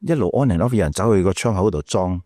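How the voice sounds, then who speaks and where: A man's voice: low-pitched, fast talker, mellow, dry recording, studio quality. A middle-aged man with a Malaysian Cantonese accent telling stories casually as an interview guest, acting out quotes and repeating words as he goes. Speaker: radio DJ and podcast guest.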